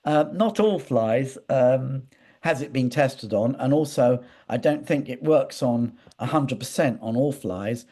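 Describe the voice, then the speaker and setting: A man's voice: mid-range voice, animated, measured pace, warm tone. A man speaking as an interview guest, telling anecdotes in a hesitant, digressive way with repeated words. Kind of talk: conversational interview, rambling anecdotes.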